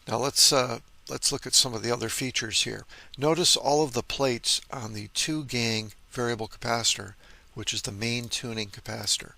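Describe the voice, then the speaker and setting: A man's voice: mid-range voice, fairly even intonation, normal speed, vocal fry, pushed and bright, no echo. An older man presenting as a radio hobbyist, giving an informal, methodical technical walkthrough in an explanatory way.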